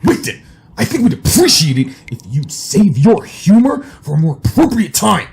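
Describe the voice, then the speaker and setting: A man's voice: high voice, sing-song, normal speed, vocal fry, a little hiss or hum, full character voice. A man playing a stubborn, indignant wealthy husband in an old-time radio drama. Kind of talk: 1930s radio acting.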